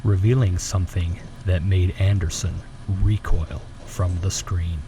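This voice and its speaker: A man's voice: low-pitched, steady tone, a little slow, background noise. A man narrating a scripted suspense story in a dramatic production.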